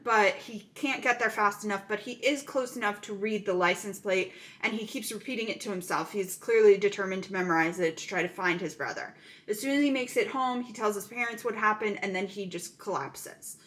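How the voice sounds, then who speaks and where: A woman's voice: medium pitch, normal speed, fairly bright tone. A woman casually recapping a thriller movie plot, chatty and full of "um" fillers.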